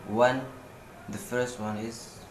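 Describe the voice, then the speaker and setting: A man's voice: mid-range voice, level tone, takes it slowly. Young man, egyptian accent, casual language vlog, echoey room, noisy background. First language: Egyptian Arabic. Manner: loose, repetitive drilling of phrases, many fillers. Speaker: language video host. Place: room at home, seated on a sofa.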